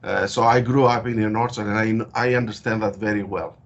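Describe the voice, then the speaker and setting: A man with a Greek accent explains his work in a low voice, pausing often with hesitations as he thinks. The call audio is dull and lo-fi. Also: fairly even intonation. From Greece. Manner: frequent hesitations, thoughtful and explanatory. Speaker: guest researcher. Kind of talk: interview over a call.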